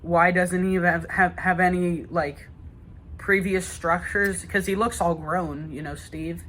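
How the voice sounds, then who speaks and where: A man's voice: high-pitched, fairly even intonation, a little slow, a bit bright. A young man, an eager fan, chatting informally and rambling through his ideas as a guest. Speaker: guest gamer. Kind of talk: casual chat.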